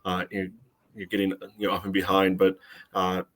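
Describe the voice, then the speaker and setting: A man co-hosting a soccer podcast, giving casual game analysis in a measured, conversational way. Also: low-pitched, completely flat delivery. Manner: measured, frequent "you know" asides. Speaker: soccer fan podcast co-host. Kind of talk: casual sports analysis chat.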